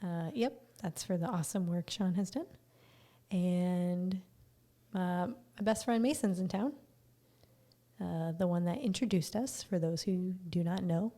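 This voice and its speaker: A woman co-hosting a podcast in casual, low-key chat, with a slightly raspy voice and sounding a little tired. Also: unhurried, dark tone. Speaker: podcast co-host.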